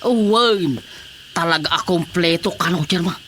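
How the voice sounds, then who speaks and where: An actor playing an eager, flattering Filipino village councilor in a comedic radio drama, exclamatory and coaxing. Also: male voice, on the high side, a little slow. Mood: coaxing, teasing. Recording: faint background noise.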